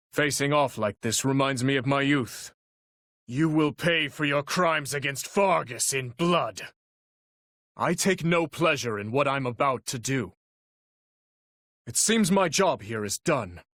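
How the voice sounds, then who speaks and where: A man's voice: mid-range voice, fairly bright tone, dry recording, studio quality. A man voicing a noble, earnest young knight-commander in a video game, speaking formally and solemnly with resolve. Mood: stern, defiant, then reluctant, grave, then strained, determined. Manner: formal, measured, earnest delivery. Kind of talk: dramatic video game voice acting.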